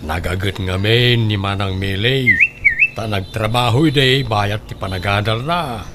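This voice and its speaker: A man's voice: lowish voice, a little slow, very noisy, close to an everyday voice. A man playing an opinionated, gossipy village neighbour in an Ilocano radio drama, with an Ilocano accent.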